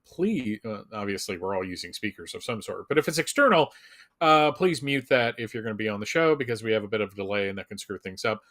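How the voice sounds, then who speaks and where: A man's voice: fairly low pitch, a bit bright. A man co-hosting a live fan show in a casual, joking way, with wry, self-deprecating humor.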